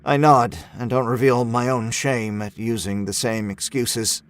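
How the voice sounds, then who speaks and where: A man narrating a dark short story in a measured, literary and dramatic way. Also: medium pitch, steady tone. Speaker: fiction narrator. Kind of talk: scripted dark-tale audiobook narration. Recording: music bed under the voice. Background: music score.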